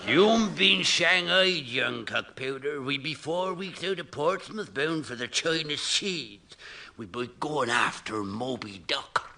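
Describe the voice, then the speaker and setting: An actor playing a gossipy, ominous old sailor with a West Country accent, relishing melodramatic warnings in a 1960s radio comedy. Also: male voice, a little high, animated, slow pace, vocal fry, pushed and bright, slight background noise, heavily acted. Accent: stage West Country. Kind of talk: 1960s BBC radio comedy sketch acting.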